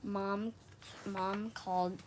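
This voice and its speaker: A woman reading a children's story aloud, haltingly, often stumbling over and repeating words. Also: mid-range voice, level tone, noisy background. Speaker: storybook reader for an online read-aloud.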